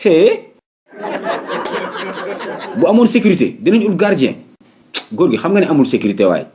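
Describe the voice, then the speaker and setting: A man's voice: on the high side, huge pitch swings, moderate pace, dark tone, a faint crowd. A man playing a breezy, matter-of-fact employer with a Senegalese accent in a comedy cartoon. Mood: matter-of-fact, amused. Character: breezy male employer hiring a night watchman.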